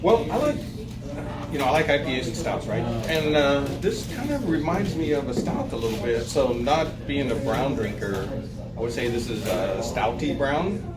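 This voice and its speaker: A man tasting beer with friends, measured with a dry sense of humor. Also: mid-range voice, animated. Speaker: taster. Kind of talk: casual chat. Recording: echoey room, distant mic, loud crowd.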